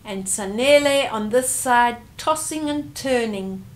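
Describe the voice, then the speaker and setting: A woman's voice: a little high, slow. An older woman hosting a story time, reading aloud expressively and explaining things to young children.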